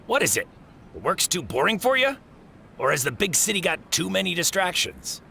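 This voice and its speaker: A man's voice: high-pitched, very animated, moderate pace, creaky, bright, forceful tone, slight background noise, full character voice. A man voicing an exasperated fruit shop boss in a video game, scolding but fair, in colloquial speech. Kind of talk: English video game voice acting.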